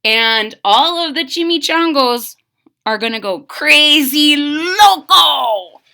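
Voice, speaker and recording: Female storybook reader: bright, unhurried, dramatic, pitched for young children. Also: animated, vocal fry. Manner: dramatic, explains to young listeners. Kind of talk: expressive children's read-aloud.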